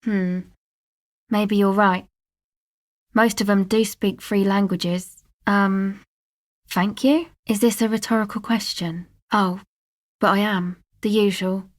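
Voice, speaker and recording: A woman's voice: medium pitch, steady tone, takes it slowly, close to an everyday voice. Actress as an offhand, streetwise young woman. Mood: wry, guarded. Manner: casual, slangy, offhand and dry. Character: young streetwise woman, guarded, laid-back slacker amateur sound recordist. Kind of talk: video game character dialogue, conversational.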